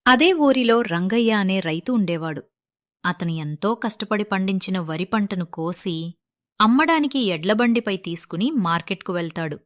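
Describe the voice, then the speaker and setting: A woman narrates a moral story from a script. Her voice has an average pitch for a woman. The recording is dry, without room echo. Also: animated, normal speed.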